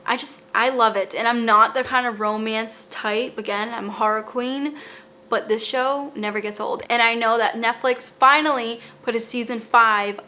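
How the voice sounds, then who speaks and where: A woman's voice: on the high side, fairly even intonation, normal speed, pushed and bright, a little hiss or hum. A woman with a Boston accent, casually recommending TV shows in a rambling, chatty way with fillers and restarts. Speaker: lifestyle vlogger.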